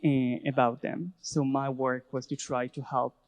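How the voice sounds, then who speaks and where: A man's voice: mid-range voice, flat delivery, unhurried. A young man, answering at a public talk and often searching for his words. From Israel. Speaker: panel speaker, activist. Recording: reverberant hall.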